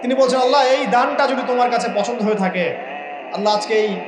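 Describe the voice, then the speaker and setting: A man's voice: very high voice, fast, noisy background. A man delivering an Islamic sermon with storytelling, emphatic and rhetorical, repeating key phrases and putting questions to his listeners. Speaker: Islamic preacher. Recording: echoing voice with music laid under. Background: background music.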